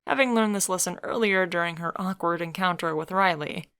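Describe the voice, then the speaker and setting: A woman's voice: fairly low pitch, fairly quick, a bit bright, clear, resonant tone, no room sound. A woman narrating a comic-dub story, reading steadily and voicing every character.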